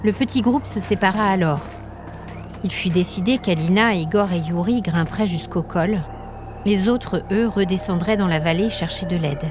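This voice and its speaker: A woman narrating scripted fiction as a storyteller, with measured, literary phrasing. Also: on the low side, music in the background.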